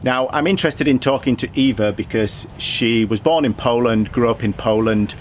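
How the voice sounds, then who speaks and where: A man with a Yorkshire accent hosts an interview at a mid-range pitch. He asks friendly questions and keeps answering with a short "right". Faint background noise is heard. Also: moderate pace. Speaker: podcast host. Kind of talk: casual interview.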